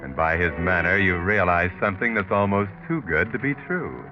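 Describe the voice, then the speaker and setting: Casting: sinister 1940s radio mystery narrator, deep voice, sardonic, needling, insinuating, over music. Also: male voice, animated, dull audio. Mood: knowing, sardonic. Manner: taunting, insinuating, deliberate rhetorical questions. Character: sardonic, ominous male narrator taunting a would-be murderer.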